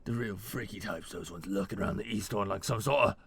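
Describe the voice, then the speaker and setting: A man's voice: on the low side, a little fast, vocal fry, a bit bright, breathy edge, full character voice. A man voicing a frantic wounded thug who is defiant yet begging, with coarse, slangy, broken speech in a fantasy story. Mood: desperate bargaining.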